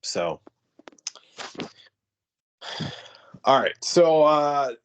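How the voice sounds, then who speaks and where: A man's voice: a little high, very animated, very slow, fairly bright tone, clear, resonant tone. Rambling, laughing podcast host man. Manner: rambling, hesitant, many fillers and laughs. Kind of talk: casual chat.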